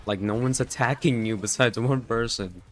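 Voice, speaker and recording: A man's voice: mid-range voice, a little hiss or hum. A man commentating his own live game stream in a rambling, profane way, with a congested voice. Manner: profane, rambling, full of "like" and "you know". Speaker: livestreamer. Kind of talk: casual live gameplay commentary.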